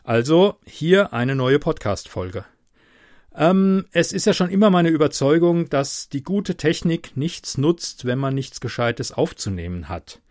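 A man's voice: fairly high pitch, big pitch swings, a little mellow, no echo, studio quality. Chatty German man, slight Palatine lilt. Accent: Palatine German, softened by speech training. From Mannheim area, Germany. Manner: chatty, unhurried, reads with dramatic emphasis. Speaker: podcast host and reader. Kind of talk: casual podcast intro, then literary reading.

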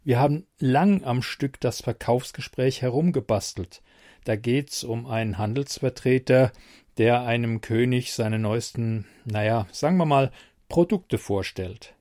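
A male podcast host speaks at a medium pitch. He narrates an introduction, explaining as he goes. The sound is close and dry. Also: unhurried, warm tone, no echo.

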